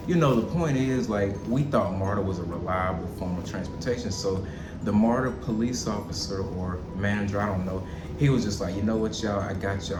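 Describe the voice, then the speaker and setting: A man in his thirties from Alabama, telling a casual storytime to his viewers and calling them y'all. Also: low voice. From Auburn, Alabama. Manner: chatty, addresses viewers as y'all. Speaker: vlogger and chef. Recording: roomy echo with music behind.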